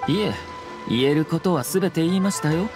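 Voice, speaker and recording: A man voicing a shrewd young nobleman in a video game, speaking in formal, measured, tactful Japanese phrasing. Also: on the high side, loud music behind the voice, lightly acted. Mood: shrewd, guarded. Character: composed, courteous, shrewd young nobleman and government commissioner.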